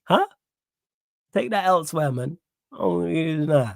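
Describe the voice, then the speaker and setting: A young man with a strong Manchester accent talks back to his live chat, indignant and insistent, circling back to the same phrases again and again. His voice sits a little high for a man. The sound is dry and close, with no room around it. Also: expressive, slow, gravelly, dry recording. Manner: indignant, repeats phrases, reads chat aloud. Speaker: livestream host. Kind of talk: live stream chat reaction.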